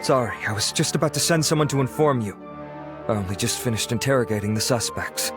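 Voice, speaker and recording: An actor playing a composed, dutiful investigating officer in a video game, speaking in a measured, dry, matter-of-fact way. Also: male voice, medium pitch, over music, lightly acted. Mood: composed, wry.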